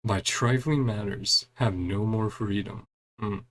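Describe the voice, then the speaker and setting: A man's voice: fairly low pitch, slow, a bit bright, a little hiss or hum, rough recording. A man streaming a game in a casual, chatty way, reading dialogue aloud and murmuring short reactions between the lines. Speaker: gaming streamer playing through a story quest.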